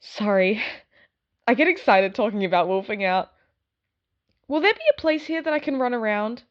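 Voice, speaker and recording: A woman playing an excitable, dog-like young werewolf in an audio drama, talking in an informal, rambling way. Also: fairly high pitch, moderate pace, muffled recording, lightly acted. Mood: eager, sheepish. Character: excitable dog-like young female werewolf giving her statement. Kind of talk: audio drama acting, first-person storytelling.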